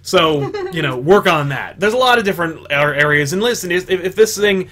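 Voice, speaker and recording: A man's voice: on the high side, lively intonation, brisk, pushed and bright. A man hosting a comics talk show in a loose, chatty way with lots of fillers. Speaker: show host. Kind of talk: casual chat.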